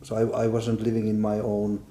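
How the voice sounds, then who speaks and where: Low guy w a warm dark voice, finn who grew up in sweden, chatting on a panel, kinda echoey room. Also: completely flat delivery, brisk, a little hiss or hum. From Finnish, raised in Sweden. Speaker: panel speaker. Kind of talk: conference panel discussion. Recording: roomy conference room.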